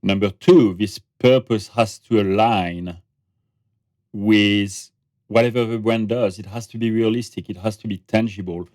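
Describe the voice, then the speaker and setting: French guy being interviewed, deep low voice, calm and thoughtful. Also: a little slow, no echo, clean studio sound. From France. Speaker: guest, brand researcher and author. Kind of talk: podcast interview conversation.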